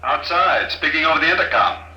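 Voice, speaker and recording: A coldly courteous villain on an intercom in a vintage radio play. The voice is very bright and forceful, with a roomy echo. He speaks formally and smugly, never flustered. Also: a little high. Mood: coldly polite. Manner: unhurried, formal, smug. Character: calm, coldly polite male conspirator villain. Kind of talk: 1950s radio drama acting.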